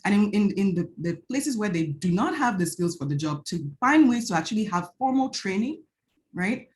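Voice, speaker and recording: A Nigerian-accented woman lays out her research to a panel over a video call. Her pitch sits in the middle, and she speaks earnestly, tagging points with "right?" and restarting phrases. A faint hiss of noise stays behind her voice. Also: expressive, moderate pace. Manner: earnest, frequent "right?" tag questions and restarts. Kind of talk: academic panel presentation.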